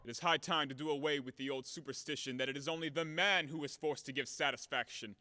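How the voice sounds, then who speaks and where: A man's voice: fairly high pitch, fast talker, bright, forceful tone, clean studio sound. Man acting a brash blustering hothead in reverb. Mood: outraged, blustering. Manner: blustering, theatrical, emphatic. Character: brash, hot-tempered, blunt male creditor, grudgingly smitten. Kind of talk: audio play of a classic stage farce.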